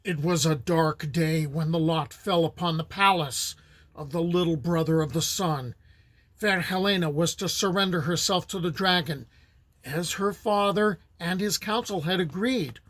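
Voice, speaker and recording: Middle-aged american guy reading old folk tales, kinda high voice, super dry close mic, easygoing pace. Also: a bit bright, dry recording. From United States. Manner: plain, unhurried storytelling voice with small restarts. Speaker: storyteller and channel host. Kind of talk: folktale narration.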